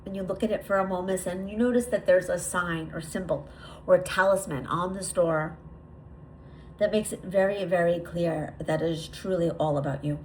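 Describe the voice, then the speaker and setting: A woman leading a guided visualization, speaking to the listener in a deliberate, repetitive way with lingering pauses. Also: fairly low pitch, fairly even intonation, a little hiss or hum, rough recording. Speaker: guided meditation leader.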